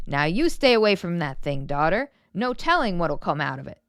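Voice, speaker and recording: A woman's voice: lowish voice, very animated, normal speed, clean tone, dry recording, clean studio sound, voice pushed down. A woman voicing a stern, practical farmer father with a terse, folksy, admonishing manner in a storybook. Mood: stern warning.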